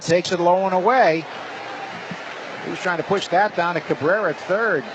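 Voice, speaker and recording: Male baseball play-by-play announcer, higher-pitched, clipped descriptive delivery, noisy live stadium broadcast. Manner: clipped, descriptive short phrases. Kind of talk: live radio play-by-play commentary. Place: ballpark broadcast booth. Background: clear stadium ambience.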